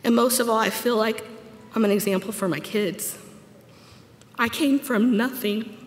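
A woman's voice: on the high side, unhurried. A woman in her forties giving a graduate's commencement speech at a podium, earnest and personal with touches of humor. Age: 40s. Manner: earnest, personal, read from notes with light humor. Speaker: graduate speaker. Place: large auditorium stage at a podium. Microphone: podium microphone. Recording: echoey hall with long reverb.

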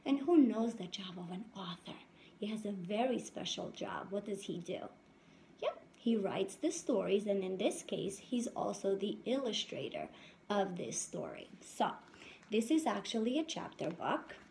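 A woman teacher reads aloud to young children in a lively, slow-paced voice. She is instructive and keeps posing questions. Faint noise sits behind her in a home room, picked up by a phone. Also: mid-range voice, vocal fry. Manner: animated, instructive, addressing children. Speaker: first-grade teacher.

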